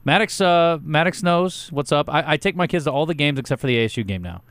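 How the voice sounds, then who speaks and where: A middle-aged man hosting a sports talk radio show, opinionated and joking, with a loud voice. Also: a little high, lively intonation, fast, clear, resonant tone, no echo. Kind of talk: casual sports radio banter.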